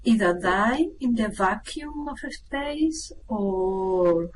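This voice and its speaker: A woman playing a callous, imperious alien spaceship captain in an amateur radio play, speaking deliberately. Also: medium pitch, lively intonation. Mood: menacing, deliberate. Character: menacing, callous alien spaceship captain who writes poetry. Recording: noisy with background ambience.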